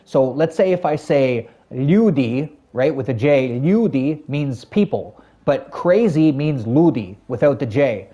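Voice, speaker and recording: A man's voice: mid-range voice, expressive, measured pace, hardly any pauses, warm tone, muffled recording. A Canadian man streaming a game, telling a long personal story to his chat in a rambling, casual way with many fillers. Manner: rambling, lots of fillers and self-corrections, talks to chat.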